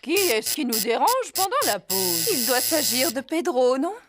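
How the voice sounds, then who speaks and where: Casting: no-nonsense female nurse, high voice, busy and brisk, for children's cartoon. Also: expressive, noisy background. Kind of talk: preschool cartoon dialogue.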